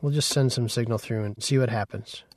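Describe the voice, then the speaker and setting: A man giving a casual, conversational software tutorial as an instructor. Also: medium pitch, brisk, a little hiss or hum. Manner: informal, conversational.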